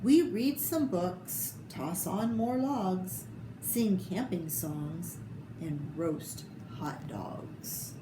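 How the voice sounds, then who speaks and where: An American woman reads a children's picture book at a slow, expressive pace, pausing to point out pictures. Her voice sits a little low. The room is echoey, with clear noise behind her. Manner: expressive, unhurried, pointing out pictures to young listeners. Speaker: storyteller reading picture books to children. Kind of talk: read-aloud picture book narration with asides to listeners.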